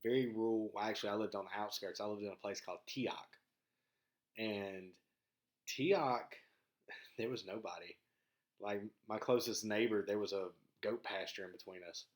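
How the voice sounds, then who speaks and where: A man from rural Mississippi with a Southern accent, talking casually to viewers in a laid-back, halting, folksy way as a vlog host. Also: lowish voice, steady tone. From rural Mississippi, southern United States.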